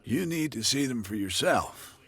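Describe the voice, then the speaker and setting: An actor playing a wary male barkeeper in a video game, cagey and matter-of-fact, with a rough, husky voice. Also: mid-range voice, animated, normal speed. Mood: guarded. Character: wary, guarded male barkeeper passing on local rumors. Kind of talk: video game cutscene dialogue.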